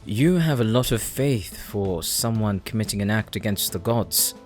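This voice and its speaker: A man's voice: lowish voice, animated, normal speed, close to an everyday voice. A man acting in an audio roleplay as a shrewd, devout prisoner who speaks in a formal, probing manner. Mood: wry, wary. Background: music.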